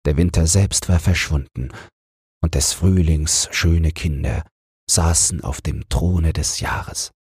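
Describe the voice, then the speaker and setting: A man reading a fairy tale as a bedtime audiobook in a measured, soothing storytelling manner. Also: very deep voice, darkish tone, slightly rough, dry recording, studio quality. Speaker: audiobook reader.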